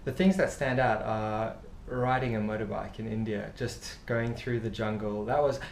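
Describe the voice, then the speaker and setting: A middle-aged man from South Africa, an author and travel writer, telling stories in a relaxed interview with lots of fillers. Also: fairly low pitch, normal speed, gravelly. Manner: rambling, warm storytelling with laughs and many fillers. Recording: echoey room with faint noise.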